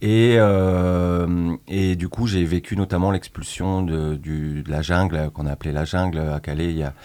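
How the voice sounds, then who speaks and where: Deep-voiced hesitant man from northern France. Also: steady tone, moderate pace, a little mellow, no room sound. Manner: hesitant, many fillers and restarts. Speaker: association founder. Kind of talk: casual radio interview.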